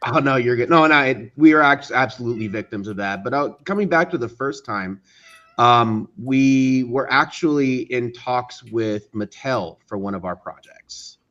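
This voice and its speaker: A man speaking as an interview guest, casually recounting things and often pausing to search for words. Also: medium pitch, animated, a bit bright, highs cut off. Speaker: interview guest, studio co-founder.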